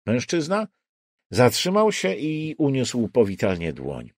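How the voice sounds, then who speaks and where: A man narrates a crime novel. His mid-pitched voice moves through a lively range of intonation, and the recording is dry and close. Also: slow pace, dry recording. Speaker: audiobook narrator.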